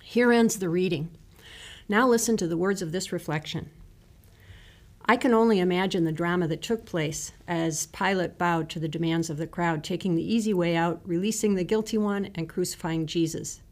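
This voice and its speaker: Lower-pitched woman reading a devotion. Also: moderate pace. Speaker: devotional reader. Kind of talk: scripted scripture and reflection reading.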